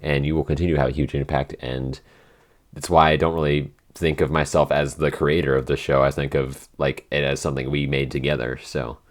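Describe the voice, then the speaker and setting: A man's voice: rumbling low, little pitch movement, fairly quick. A man chatting casually as a podcast writer in a behind-the-scenes Q&A, often searching for his words.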